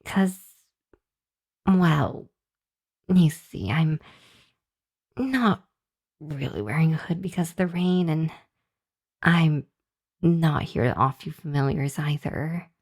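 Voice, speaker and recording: A woman's voice: sits low, expressive, takes it slowly, pauses often, darkish tone, exaggerated voice. A woman playing a nervous, apologetic young hellhound girl in an ASMR roleplay, speaking to the listener with a hesitant, stammering delivery. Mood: cautious, hesitant.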